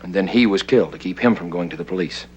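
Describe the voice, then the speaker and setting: An actor playing a cool, terse masked vigilante in a 1960s American television crime drama, questioning with authority. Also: male voice, low voice, fast talker, a little mellow, muffled recording, slight background noise, close to an everyday voice. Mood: grave, calm. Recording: 1960s TV soundtrack with music underneath.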